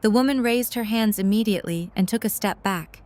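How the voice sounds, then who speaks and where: Mid-pitched woman narrating a story. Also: a little fast, a little mellow.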